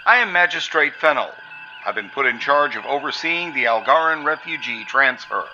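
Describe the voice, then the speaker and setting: A man's voice: mid-range voice, lively intonation, normal speed, a little hiss or hum, lightly acted. A man playing a stiff, disdainful alien magistrate with old grudges in a sci-fi audio drama. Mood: formal. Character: haughty, bitter alien male magistrate, prejudiced and grieving. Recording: dull audio with music behind.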